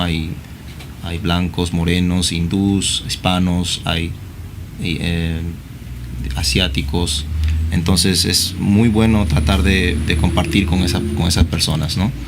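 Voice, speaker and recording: A man, a police officer, speaking in a measured way with fillers and tag questions. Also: deep voice, slight background noise. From Peru. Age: 30s.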